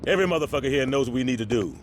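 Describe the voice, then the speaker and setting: A man's voice: mid-range voice, lively intonation, rapid-fire, slight background noise, lightly acted. An actor playing a stern, fatherly middle-aged street gang leader in a video game, speaking with authority in street slang. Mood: rallying. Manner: authoritative, speechifying.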